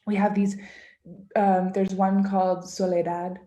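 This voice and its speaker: A woman children's book author talking casually and interactively to children on a video call, expressive and encouraging, often asking questions. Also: mid-range voice, a little slow. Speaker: children's book author giving a read-aloud.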